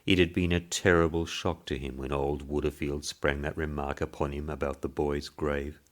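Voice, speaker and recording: A man narrating a literary short story in a measured, deliberate way. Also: very deep voice, little pitch movement. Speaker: story reader.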